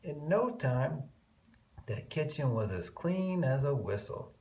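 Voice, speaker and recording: A man with a mid-pitched voice reads a children's story aloud at an unhurried pace. His delivery is very lively, with drawn-out emphasis on key words and chatty asides to the young listeners. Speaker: children's picture-book reader.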